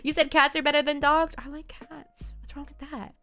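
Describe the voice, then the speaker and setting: Bright-voiced young woman streamer chatting. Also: high voice, little pitch movement, moderate pace, faint music behind. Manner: rambling, chatty, laughs often. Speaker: game streamer. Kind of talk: casual chat while reading viewer comments.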